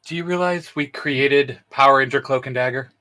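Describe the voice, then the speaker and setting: A man's voice: medium pitch, normal speed, pushed and bright. A man co-hosting a fan channel in casual, geeky banter.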